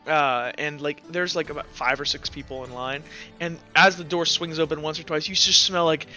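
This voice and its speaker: A man acting as game master, narrating a tabletop game in a casual, halting, conversational way. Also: on the high side, steady tone, dull audio, music in the background.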